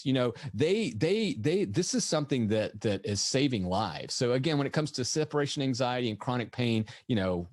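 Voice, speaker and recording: Slightly raspy man, lively presenter. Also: medium pitch, no echo, studio quality. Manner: energetic, rhetorical questions, frequent "right?". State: voice tired from lecturing all morning. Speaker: veterinarian presenter. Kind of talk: conversational webinar presentation.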